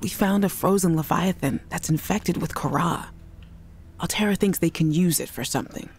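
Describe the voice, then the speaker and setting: An actress playing a worried woman scientist who leaves halting, apologetic voice messages for her sister in a video game. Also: lowish voice, a little hiss or hum, natural voice. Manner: anxious, apologetic, halting restarts. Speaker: game character.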